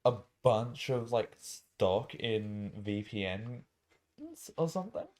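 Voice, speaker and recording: A man's voice: mid-range voice, big pitch swings, takes it slowly, a little mellow. A man co-hosting a podcast, chatting casually and bluntly with plenty of swearing and slang. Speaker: podcast co-host. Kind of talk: casual chat.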